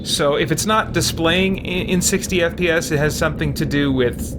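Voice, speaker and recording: A man's voice: a little high, normal speed. A man live-streaming a video game, chatting casually with viewers and thinking aloud in a playful, informal way. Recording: clear background noise behind the voice.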